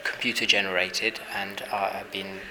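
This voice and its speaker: A man from Hungary, an artist, answering interview questions thoughtfully, with many pauses, fillers and restarts. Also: low voice, flat delivery. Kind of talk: exhibition interview. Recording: noisy ambience at an exhibition.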